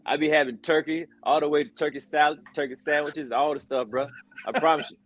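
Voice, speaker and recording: A man's voice: a little high, steady tone, brisk, faint music behind. A man in his forties, a podcast guest, chatting casually and telling stories with many fillers and repeated words. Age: 40s. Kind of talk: casual chat.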